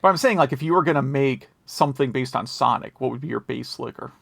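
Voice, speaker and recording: A man's voice: mid-range voice, fast, creaky, fairly bright tone, no room sound. An American man co-hosting a podcast in casual chat, wry and opinionated. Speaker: podcast co-host.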